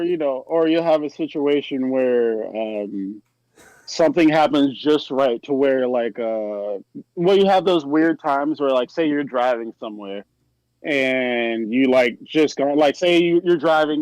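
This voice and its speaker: A man's voice: medium pitch, unhurried, darkish tone, no echo, low-quality recording. A man from New York co-hosting a live stream, telling personal stories casually and circling back to rephrase as he goes. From New York, United States. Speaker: live stream podcast co-host. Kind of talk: casual chat, personal storytelling.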